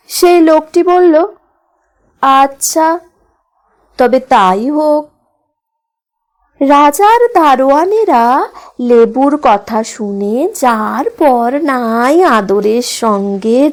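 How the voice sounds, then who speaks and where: A woman narrates a fairy tale for children in a high-pitched voice. Her pace is very slow and deliberate. The delivery is expressive, scripted audiobook storytelling with a touch of drama.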